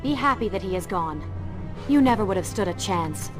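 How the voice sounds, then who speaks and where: A woman playing a proud, animated young warrior princess. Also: mid-range voice, normal speed, lightly acted. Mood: scolding. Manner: formal, haughty, challenging. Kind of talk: video game cutscene acting. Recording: game audio with background music.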